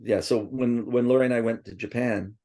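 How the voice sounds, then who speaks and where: A man with a gravelly, dark voice tells stories in a loose, rambling way. He restarts often and wanders into asides. Swedish was his first language. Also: medium pitch, fairly even intonation, fairly quick. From born in Princeton, raised partly in Tokyo. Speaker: interviewee. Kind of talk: casual reminiscing interview.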